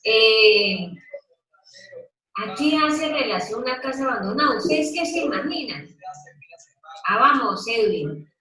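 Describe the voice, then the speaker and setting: A woman's voice: on the high side, lively intonation, bright, forceful tone. A woman leading a Bible study, reading scripture and drawing out her listeners with questions. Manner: slow, questioning, coaxing listeners to take part. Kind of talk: interactive Bible teaching. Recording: echoey room, mic at a distance.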